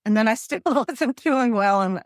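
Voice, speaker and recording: A woman in her fifties, a pickleball coach and author, telling animated stories as a podcast guest and laughing often. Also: on the high side, a little slow, clean tone, dry recording, clean studio sound. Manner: animated storytelling, laughs often, acts out her own quotes.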